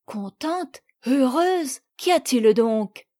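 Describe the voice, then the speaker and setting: A woman's voice: sits high, animated, very slow, mellow, full character voice. A woman voicing an anxious, emotional wife and mother, urgent and imploring, in a storybook reading. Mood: puzzled, worried.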